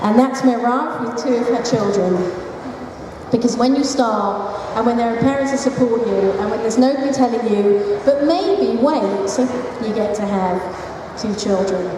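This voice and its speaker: A woman's voice: a little high, moderate pace. A woman gives a chatty conference presentation, with self-deprecating jokes and asides. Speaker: founder of a support group for single mothers. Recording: echoey hall, mic heard from a distance, noisy.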